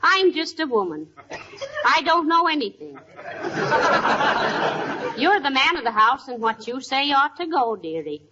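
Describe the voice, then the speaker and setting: An actress playing a sensible, teasing middle-aged wife in 1940s radio comedy, wry and gently scolding. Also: female voice, sits high, sing-song, unhurried, long pauses. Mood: mock meek. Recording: muffled, noisy old radio recording.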